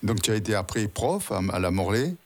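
A man's voice: lowish voice, very animated, a little fast, a little mellow. A man hosting a radio interview, asking friendly leading questions and restating his guest's answers. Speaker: radio host.

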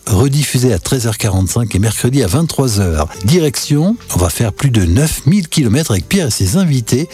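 Male promo announcer, warm and lively, over a light music bed. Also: mid-range voice. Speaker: station announcer. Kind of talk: radio promo.

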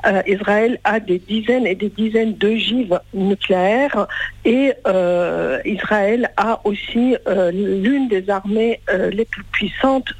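A woman from Iran answering in an interview, grave and earnest, pausing often with filler sounds between phrases. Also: mid-range voice, dry recording, low-quality recording. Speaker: interview guest.

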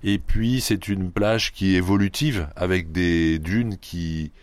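A man from the Paris region, a club head being interviewed on radio, who pauses often with fillers and restarts. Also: low-pitched, fairly even intonation, no room sound. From Paris region, France. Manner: hesitant, many fillers and restarts. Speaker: guest, sand yachting club head.

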